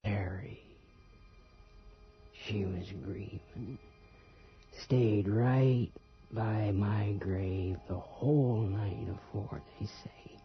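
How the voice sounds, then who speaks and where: Guarded undead country dad, radio drama; halting half-whisper, unhurried, folksy, muffled lo-fi with music. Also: male voice, on the low side. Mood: haunted, halting. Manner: terse, halting, folksy rustic speech. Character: rural father back from the grave, guarded and plain-spoken. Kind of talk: 1980s radio horror drama acting. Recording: dull lo-fi radio recording with music.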